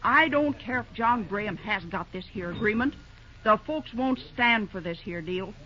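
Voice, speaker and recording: An actress playing an excitable, motherly older small-town woman with a rural American accent and folksy dialect, in old-time radio drama style. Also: medium pitch, moderate pace. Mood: indignant. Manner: exclamatory, indignant, motherly. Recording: dull, noisy vintage radio recording.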